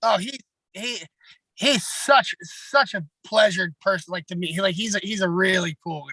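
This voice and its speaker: A man with a raspy voice, a pro wrestler talking bluntly and at length in a casual podcast chat, heavy on fillers. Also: sits high, normal speed, bright, forceful tone, dry recording, poor audio.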